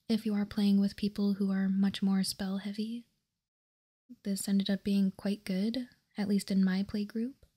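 A woman whispering softly and breathily in an ASMR style, calm and unhurried, as she hosts a card walkthrough. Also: medium pitch, husky. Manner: calm, unhurried, reading out and commenting. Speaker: host of a card game ASMR channel.

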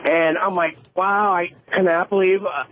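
A man calling a radio show, chatting casually and eagerly, halting and repeating himself, over a phone line. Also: high-pitched, fairly even intonation, a little hiss or hum. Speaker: phone-in caller. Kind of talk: casual, enthusiastic chat.

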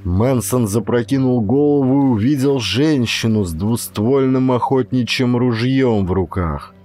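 A man's voice: mid-range voice, a little slow, warm tone. A man reading a thriller audiobook as a dramatic, scripted narration. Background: background music.

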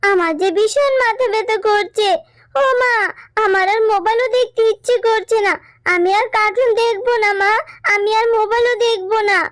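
A performer voicing an excitable, whiny little girl fox cub in a cartoon, pleading and childlike. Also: extremely high pitch, no echo, clean studio sound. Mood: whining, unwell.

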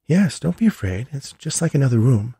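A man voicing a gentle, coaxing female ghost in an audiobook. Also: medium pitch, big pitch swings, fairly quick, husky, dry recording, clean studio sound, voice pushed up, full character voice. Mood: gentle, reassuring. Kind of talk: audiobook character reading.

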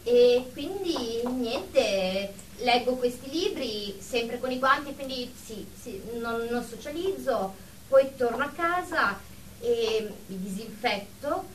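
A woman's voice: fairly high pitch, lively intonation, takes it slowly, distant mic, a little hiss or hum, low-quality recording. A woman playing a fussy, defensive, germ-phobic therapy patient in a comic radio drama. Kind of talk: radio comedy-drama acting.

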